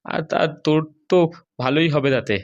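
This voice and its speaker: A man's voice: on the high side, normal speed, lo-fi sound, natural voice. A man voicing a reassuring older father pressed for money in a comedy cartoon. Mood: reassuring, hesitant. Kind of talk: amateur comedy cartoon dialogue.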